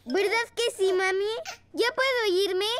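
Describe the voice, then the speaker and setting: A woman voicing a gentle young panda boy in a children's cartoon, childlike and earnest. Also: extremely high pitch, a little slow, a little hiss or hum. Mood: cheerful. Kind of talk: animated children's cartoon dub. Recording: music behind the voice.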